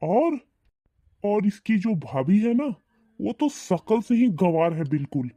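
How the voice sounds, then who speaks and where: A man's voice: sits high, sing-song, slow pace, dark tone, no room sound, heavily acted. A man voicing a contemptuous, snobbish married son who sneers and complains in a cartoon family drama. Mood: sneering. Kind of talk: animated family drama dialogue.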